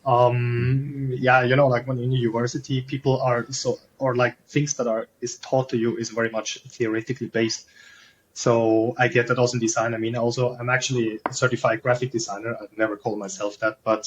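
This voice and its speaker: A man's voice: medium pitch, level tone, fairly quick, a little hiss or hum, low-quality recording. A man from Switzerland with a Swiss German accent, a podcast guest chatting casually and rambling with lots of fillers. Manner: rambling, many "like" and "you know" fillers. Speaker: podcast guest, SEO freelancer.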